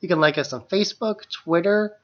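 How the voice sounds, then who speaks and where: A man's voice: sits high, fairly quick, muffled recording. A man co-hosting a podcast in casual, conversational talk about films. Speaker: podcast co-host. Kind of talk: casual film discussion.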